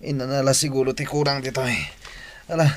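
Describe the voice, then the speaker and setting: A man's voice: mid-range voice, steady tone, fairly quick, slight background noise, close to an everyday voice. Man acting groaning, stingy Filipino boss in pain. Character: groaning, stingy sick male fruit stand boss. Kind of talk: Ilocano radio drama acting. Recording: faint music behind the voice.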